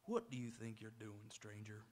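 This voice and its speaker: An actor playing a hot-tempered, threatening rancher in a live radio Western, with a gruff, rural way of talking. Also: male voice, on the low side, big pitch swings, moderate pace, a little mellow. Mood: menacing. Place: auditorium stage, live radio theatre performance.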